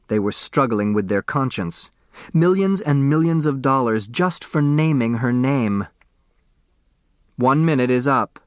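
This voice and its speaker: A man reading a novel as an audiobook narrator, with dramatic, expressive scripted delivery. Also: medium pitch, no room sound, clean studio sound.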